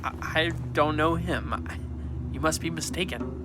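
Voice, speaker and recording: A man's voice: fairly high pitch, normal speed, noisy background, lightly acted. A man playing an anxious, earnest young servant boy in an audio drama, flustered and plaintive. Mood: frightened, protesting. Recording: loud music behind the voice.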